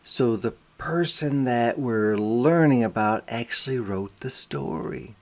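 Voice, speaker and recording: A man reading a picture book aloud to young children as a teacher, phrasing deliberately and pausing to explain words simply. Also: medium pitch, a little mellow. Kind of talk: interactive children's read-aloud with explanatory asides.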